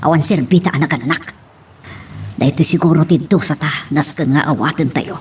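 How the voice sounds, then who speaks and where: A woman's voice: low-pitched, moderate pace, a little mellow, slightly rough. A woman with an Ilocano accent playing a grieving, remorseful middle-aged mother in an audio melodrama, lamenting dramatically. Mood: bitter remorse. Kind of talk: Ilocano radio-style melodrama acting.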